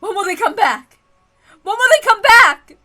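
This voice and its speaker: Shrill, hysterical panicked wife. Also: female voice, lively intonation, pushed and bright, soft background music, exaggerated voice. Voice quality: shrill, wailing. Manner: frantic, sobbing, drawn-out pleading. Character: panicked, hysterical feverish wife crying out for her husband.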